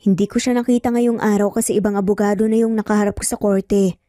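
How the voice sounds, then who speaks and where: A bewildered woman lawyer acted by a female narrator in an audio story, sounding pensive and hesitant. The voice is very mellow, with music behind it. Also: mid-range voice, fairly even intonation, fast talker, no room sound, clean studio sound, natural voice. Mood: pensive, disbelieving. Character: preoccupied, bewildered young female lawyer and mother.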